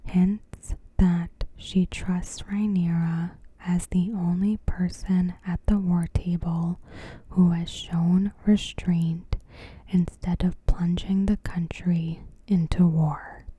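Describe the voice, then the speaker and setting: A woman softly narrating a scripted recap in ASMR style, in a breathy whisper with careful phrasing. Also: on the low side, little pitch movement, no echo. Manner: soft-spoken, unhurried, careful phrasing. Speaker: ASMR creator.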